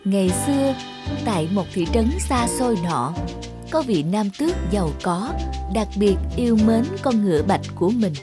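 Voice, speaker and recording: A woman reading scripted storybook narration for an animated fairy tale. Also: medium pitch, moderate pace, over music.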